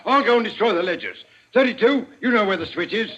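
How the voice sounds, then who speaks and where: A man's voice: sits high, animated, quick pace, fairly bright tone, husky, heavily acted. An actor playing a brusque, callous male henchman who gives curt orders in a 1940s radio play. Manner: curt, offhand. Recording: dull lo-fi vintage radio recording with faint noise.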